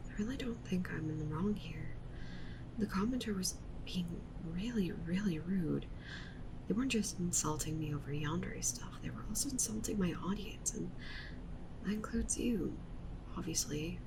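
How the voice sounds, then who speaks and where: Low, creaky, clingy yandere streamer girl, acted by a woman. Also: noisy background. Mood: hurt, defensive. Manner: pleading, intimate, often slipping into breathy whispers. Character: clingy yandere witch streamer woman, wounded, possessive, obsessive. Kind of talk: ASMR roleplay, addressing the listener directly.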